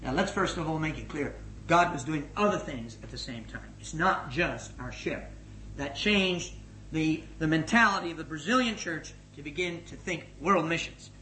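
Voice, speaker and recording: A middle-aged man giving a spoken report as a missions leader to a gathering, energetic and emphatic, swinging between loud and hushed. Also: fairly high pitch, moderate pace. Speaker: missions leader addressing his organisation. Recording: echoey room with faint noise.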